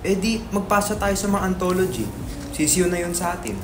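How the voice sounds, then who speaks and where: A man's voice: sits high, a little fast, a bit bright, slight background noise. A man playing a doubtful, guilt-ridden young writer in a dramatic script reading, reluctant and questioning. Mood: guilty, pleading.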